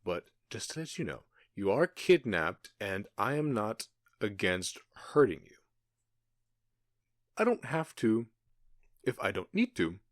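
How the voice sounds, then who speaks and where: A man in an ASMR roleplay playing a menacing, gloating outlaw gang boss who taunts with mock politeness and deliberate pauses. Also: fairly low pitch, very animated, dry recording, studio quality. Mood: coldly threatening.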